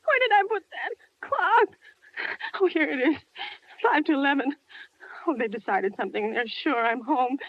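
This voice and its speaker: An actress playing a nervous, demanding wife alone at night in a 1940s radio thriller, agitated and repeating herself. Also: female voice, high voice, lively intonation, moderate pace, long pauses, no room sound. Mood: anxious. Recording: dull, noisy vintage radio recording.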